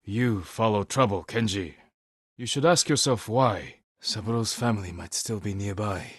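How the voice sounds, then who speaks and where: An actor playing a stern, honorable samurai warrior in a video game, with a husky voice and a measured, terse, commanding manner. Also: male voice, fairly low pitch, fairly bright tone, dry recording, clean studio sound. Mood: stern, resolute. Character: stern honorable adult male samurai warrior, dutiful and weary. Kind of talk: video game character voice lines.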